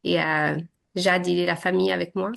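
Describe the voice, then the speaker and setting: A woman's voice: on the low side, little pitch movement, normal speed, gravelly. A woman in her thirties from Syria, whose first language is Arabic, answering interview questions in a hesitant way with many fillers and restarts. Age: 30s.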